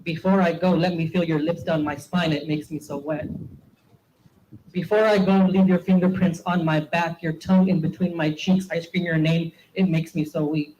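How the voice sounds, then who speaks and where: A man's voice: on the high side, steady tone, moderate pace, darkish tone, slight background noise, low-quality recording. A man performing a spoken word poem at an open mic, with an intimate delivery built on repeated refrains.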